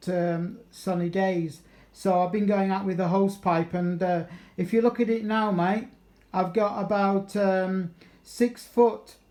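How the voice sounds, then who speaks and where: A man's voice: high voice, darkish tone, roomy sound. A man with a southeast English accent chatting casually and matily as a radio operator, using procedure words. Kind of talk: casual radio contact chat. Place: kitchen at home.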